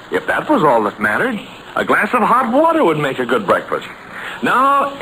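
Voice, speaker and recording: A man's voice: high-pitched, a bit bright. A man announcing in hearty, emphatic 1940s radio style on a noisy vintage recording. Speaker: announcer and narrator.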